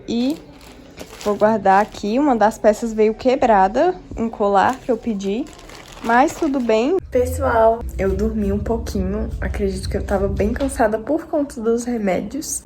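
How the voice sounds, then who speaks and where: Young woman vlogging, bit hoarse from a cold, a bit high and mellow, faint hiss behind. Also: unhurried. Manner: chatty, affectionate, addresses viewers as friends. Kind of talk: casual vlog talk to camera.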